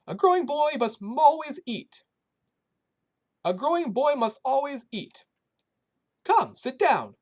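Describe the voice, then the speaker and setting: A woman voicing a cheerful, kindly old Polish woman who cooks for others, speaking with a Polish accent in a high, doting voice. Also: very animated, takes it slowly, pauses often, a little mellow, exaggerated voice.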